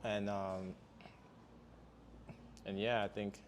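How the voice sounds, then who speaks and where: A man's voice: fairly low pitch, flat delivery, extremely slow, a little hiss or hum. A man hosting a podcast, talking casually in loose, meandering sentences full of "um" fillers. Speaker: podcast host. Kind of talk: casual interview chat.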